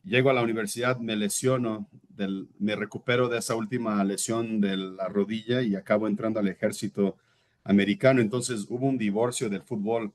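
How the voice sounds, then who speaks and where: A man in his thirties, born in Brazil and a native Portuguese speaker, tells his own story as an interview guest. His voice sits fairly low, and he talks in a reflective, earnest way. He sometimes pauses to search for the right word. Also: fairly even intonation. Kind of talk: personal testimony in conversation.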